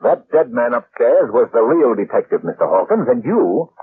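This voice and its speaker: An actor playing a shrewd, assured gentleman sleuth in an old-time radio mystery, speaking politely and deliberately in formal phrases. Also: medium pitch, very animated, close to an everyday voice. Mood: calm, accusing. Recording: tinny, noisy old radio recording.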